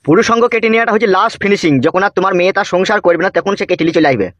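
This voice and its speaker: A man's voice: high-pitched, very fast, a bit bright, dry recording. A man voicing a bossy, crude village politician in a comedy cartoon, with coarse, scolding talk. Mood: bossy, probing.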